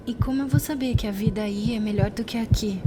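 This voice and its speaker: Woman as a young orphan girl, high voice, wary, distrustful, audio drama, prominent music underneath. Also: quick pace, natural voice. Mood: fearful, hesitant.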